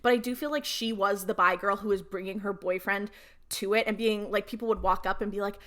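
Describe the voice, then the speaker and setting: A young adult woman, a podcast host chatting casually and comedically in a panel, telling stories in an animated way with acted-out asides. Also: mid-range voice, fairly quick.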